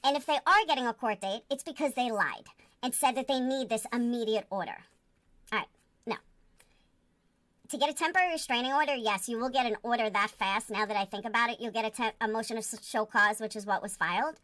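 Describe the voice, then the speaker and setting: A woman's voice: on the high side, a little fast, fairly bright tone, dry recording. A woman giving casual, opinionated livestream commentary in a blunt, emphatic and self-assured manner. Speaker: online commentator.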